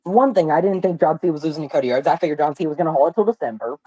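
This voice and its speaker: A young man hosting a live watch party stream, talking excitedly with lots of slang and exclamations, his voice hoarse and cracking from a sore throat. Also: on the high side, rapid-fire, rough recording. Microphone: phone.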